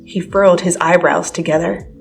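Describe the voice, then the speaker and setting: A woman's voice: on the low side, steady tone, normal speed, creaky, rough recording. Woman narrating a story over music. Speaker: fan fiction reader. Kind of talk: scripted dramatic narration. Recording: music under the voice.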